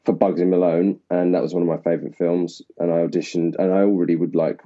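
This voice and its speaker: A man from Essex, an actor, talking at length and laughing at himself in a relaxed interview. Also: low-pitched, fast talker. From England (Essex). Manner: rambling, self-deprecating, laughs often. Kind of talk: casual interview conversation. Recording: dull lo-fi sound.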